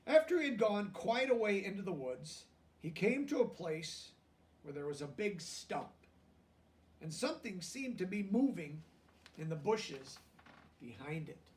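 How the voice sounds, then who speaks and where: A man reading a children's story aloud and pausing to explain things simply, like a teacher with young listeners. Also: high voice, very animated, recorded from a distance. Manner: pauses to explain words and check understanding in plain language.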